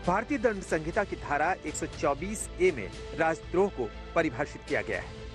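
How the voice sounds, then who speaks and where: A man narrating a scripted television news report as a voice-over. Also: on the high side. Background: prominent background music.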